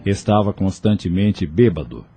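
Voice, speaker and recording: A man's voice: low voice, lively intonation, normal speed, music in the background. A man narrating a scripted audio novel as a storyteller.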